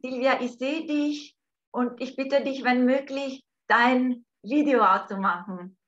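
A woman from Italy with an Italian accent, hosting an online talk by video call from home, speaking slowly and hesitantly with many fillers. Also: high-pitched. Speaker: host. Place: home room, indoors. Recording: dull lo-fi video call audio.

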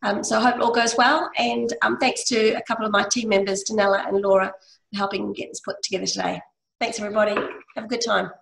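A middle-aged woman, a webinar organizer, giving quick closing thanks with fillers over a video call. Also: mid-range voice, level tone, creaky, fairly bright tone. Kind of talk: closing remarks. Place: indoor room on video call. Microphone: laptop webcam on video call. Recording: echoey room sound.

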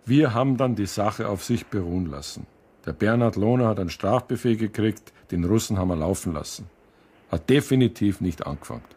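Low, raspy policeman. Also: lively intonation, fairly quick, darkish tone. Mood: matter-of-fact. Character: calm, matter-of-fact male police officer asking questions. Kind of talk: naturalistic German radio crime drama acting.

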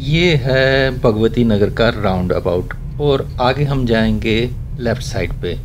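A man's voice: fairly low pitch, lively intonation, slow. A man giving a casual, wry running commentary as he drives a guest around a city, speaking from inside a car. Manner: chatty, wry remarks about traffic manners. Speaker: vlogger giving a city driving tour. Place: inside a car driving through a city in rain.

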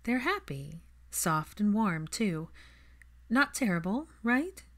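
A woman voicing a dry, guarded but quietly happy young woman with clipped, wry replies in an audiobook. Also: mid-range voice, sing-song, slow, voice pushed up. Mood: content.

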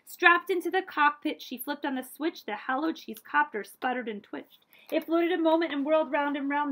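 A middle-aged woman reading a picture book aloud in an expressive, deliberate storytelling manner for children. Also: high voice, poor audio. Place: small room with bookshelves, indoors.

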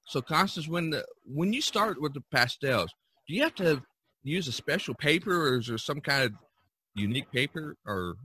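A man's voice: fairly high pitch, lively intonation, normal speed, pushed and bright, slightly rough. A man hosting a podcast in casual, rambling, chatty conversation with artist friends. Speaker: podcast host. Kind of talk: casual chat among artists.

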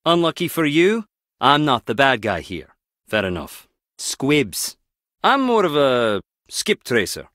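An actor playing a wry, guarded skip tracer in a video game, teasing yet protective, speaking in a clipped, conversational way. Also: male voice, medium pitch, sing-song, slow pace, fairly bright tone, dry recording, clean studio sound. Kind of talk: video game character dialogue.

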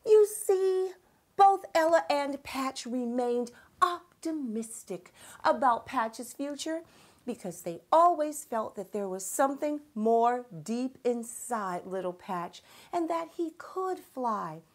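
A middle-aged woman hosting a children's read-aloud, with expressive, emphatic and encouraging storytelling. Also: high-pitched, takes it slowly.

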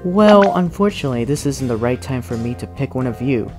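Woman playing a lively-pitched exasperated teenage boy. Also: brisk, over music. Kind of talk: fan anime parody dub.

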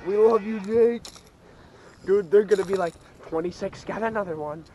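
A man's voice: high voice, moderate pace, warm tone, poor audio. A teenage boy on a fishing boat, joking, cheering and swearing with his partner, recorded on an action camera. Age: teens. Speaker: high school angler. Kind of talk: joking casual banter. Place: small fishing boat on a windy lake, outdoors. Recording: clear wind and boat noise outdoors.

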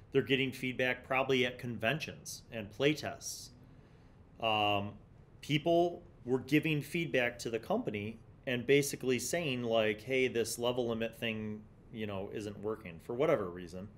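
A middle-aged man hosting a review, explaining and reading aloud in a deliberate way, recorded at a desk microphone in a small studio. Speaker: host of a tabletop gaming review series. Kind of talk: review commentary mixed with reading aloud from a magazine. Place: small indoor studio with block wall. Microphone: large condenser mic on desk. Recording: low rumbling background noise.

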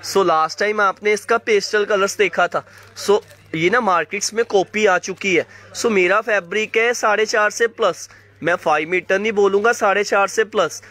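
A man running a fast live sale of clothing, calling viewers by name and coughing from a sore throat. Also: high voice, bright, forceful tone. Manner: fast hyped patter, addresses viewers by name. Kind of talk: live sales pitch to viewers. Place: indoor shop floor, clothes laid on mat.

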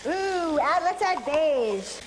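A woman's voice: high-pitched, animated, slow pace, very noisy. A woman co-hosting a comic cooking show, playful and squeamish, telling jokes and questioning the recipe.

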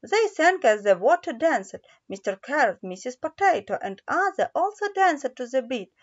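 A woman narrating a children's story in a careful, halting read-aloud way. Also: high voice, big pitch swings. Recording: dull lo-fi recording.

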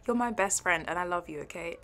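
A woman's voice: lowish voice, lightly acted. A woman playing a playful, shyly fond young best friend in an intimate audio roleplay, giggly and hesitant. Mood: affectionate. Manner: giggly, hesitant, soft trailing asides. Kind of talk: intimate audio roleplay, one side of a conversation.

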